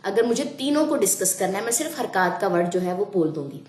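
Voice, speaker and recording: A woman's voice: mid-range voice, expressive, a little mellow. Brief: woman tutor, patient lesson delivery, echoey room. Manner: slow drawn-out letter sounding, patient explaining. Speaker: Quran reading teacher. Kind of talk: online class lesson.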